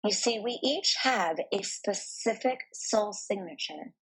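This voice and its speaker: A woman with a medium-pitched, soft and breathy voice guides a meditation. Her delivery is slow and deliberate, with soothing phrasing and pauses between thoughts. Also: expressive, poor audio.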